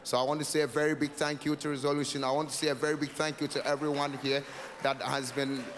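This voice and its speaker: A man's voice: a little high, little pitch movement, quick pace, a bit bright. A man in his twenties with a Ghanaian accent gives an earnest personal speech of thanks, repeating phrases for emphasis. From Northern Ghana. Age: 20s. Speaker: project founder, guest speaker. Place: large event hall. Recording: echoey hall with background noise.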